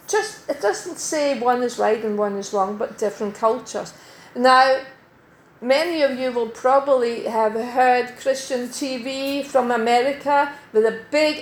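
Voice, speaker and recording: A middle-aged woman from Denmark preaching a sermon in a church, measured and emphatic, slowing to stress key words. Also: on the high side, slight background noise. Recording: echoey church hall.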